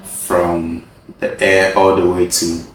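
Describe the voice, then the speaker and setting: A man's voice: sits low, monotone, slow pace, very echoey, recorded from a distance, slight background noise. A man from Ghana, a guest in a casual interview, talking earnestly and repeating words for emphasis. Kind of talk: casual conversation.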